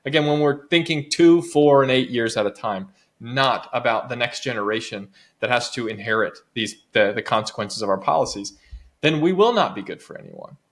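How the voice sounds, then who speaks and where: A man at an ordinary middle pitch speaks as an interview guest. He argues his points earnestly and persuasively, piling up repeated phrases to build each point. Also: moderate pace. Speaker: guest author and advocacy director. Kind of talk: interview, making an argument.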